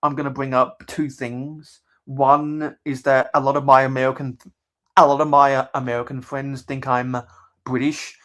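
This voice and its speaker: A young man with a mild Australian accent, chatting casually as a channel host in a measured, halting way that circles back on his phrases. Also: mid-range voice, flat delivery, fairly bright tone. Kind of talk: casual livestream chat.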